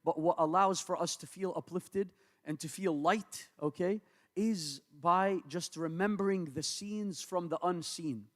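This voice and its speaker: A man born and raised in America, an imam preaching in a mosque, with rapid Arabic invocations and earnest English. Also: sits high. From United States. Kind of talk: mosque talk with Arabic recitation. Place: large mosque hall. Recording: long hall reverb.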